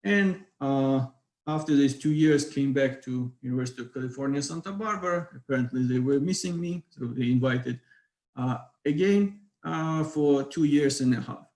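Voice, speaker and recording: A man's voice: medium pitch, moderate pace, a little mellow. Hesitant Ukrainian man in echoey room. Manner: hesitant, many fillers. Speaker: physics lecturer. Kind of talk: online academic talk.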